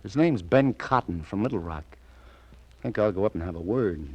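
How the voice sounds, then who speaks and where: A low-pitched American police detective from a 1940s radio crime drama. He is shrewd and casual, with clipped, probing, sardonic delivery. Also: animated, moderate pace, warm tone. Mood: breezy.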